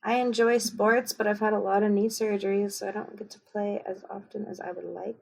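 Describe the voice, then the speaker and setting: A woman from Kansas co-hosting a book podcast, chatting casually with frequent pauses and ums. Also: mid-range voice, creaky, breathy edge, low-quality recording. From Kansas, USA. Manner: relaxed, unhurried with frequent ums. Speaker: podcast co-host. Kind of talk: casual chat.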